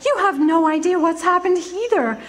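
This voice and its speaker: A woman acting in a live community radio play, voicing a tender, excited wife. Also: very high-pitched, normal speed. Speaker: actor playing Mary.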